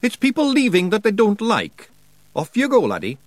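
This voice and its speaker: A tiny, boisterous fairy warrior chief, put on by a male narrator with a broad Scottish accent, pitched high and swooping wildly as he blusters cheerfully through a storybook reading. The voice sits close and dry, with no room around it. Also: wildly swooping pitch, normal speed, dry recording, full character voice. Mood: blustering. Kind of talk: audiobook character voice.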